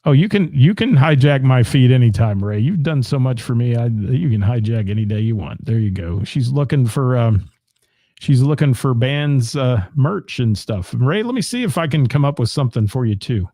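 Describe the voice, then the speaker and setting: A man's voice: medium pitch, expressive, normal speed, warm tone, no room sound. A man, hosting a live show and rattling off local gig listings with asides to the chat. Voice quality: gravelly voice. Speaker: radio host.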